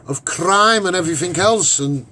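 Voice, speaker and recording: A man with a Scouse accent and a husky voice giving an off-the-cuff, opinionated monologue, emphatic and rambling, often repeating his phrases. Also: high voice, expressive, moderate pace, pushed and bright, a little hiss or hum. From Liverpool, England. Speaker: online broadcaster and activist.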